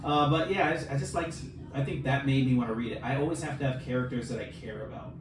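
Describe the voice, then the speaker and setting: A man co-hosting a podcast in casual, joking, animated conversation. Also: medium pitch, quick pace. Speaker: podcast co-host; film director and filmmaker. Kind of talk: casual chat. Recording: roomy echo, distant mic, noisy background.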